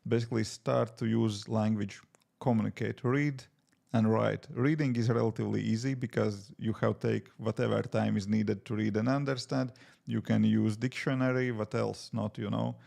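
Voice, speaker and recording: A man with a Latvian accent talks in a relaxed, thinking-aloud way, with plenty of fillers. His voice is low and heavily gravelly, with a mellow, dark tone. He sounds like a developer chatting casually while he works at his desk. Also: moderate pace. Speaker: app developer streaming live. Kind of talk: casual live-stream chat while coding. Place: home desk.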